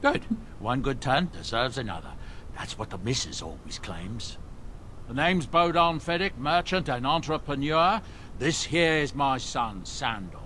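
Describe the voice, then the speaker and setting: A man's voice: medium pitch, animated, bright, forceful tone, slight background noise. A man playing a genial, folksy middle-aged dwarf merchant with courteous manners in a fantasy video game. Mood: grateful, genial. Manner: courteous, folksy, unhurried pleasantries.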